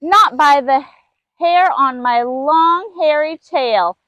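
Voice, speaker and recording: Storybook animal: small male lemur played by a woman, high, chirpy and plucky, dry close sound. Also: takes it slowly, fairly bright tone, dry recording, muffled recording. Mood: defiant. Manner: chirpy, exclaiming. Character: carefree little male lemur, plucky and defiant.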